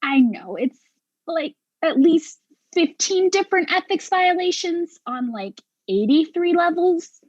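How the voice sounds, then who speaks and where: High-pitched, exasperated woman in comic mystery acted. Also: animated, a little slow, a little mellow, no echo, dull audio. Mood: exasperated, confessing. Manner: argumentative, precise, sarcastic. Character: exasperated, sharp-tongued professional woman accused of murder. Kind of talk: stage-play dialogue in a darkly comic mystery.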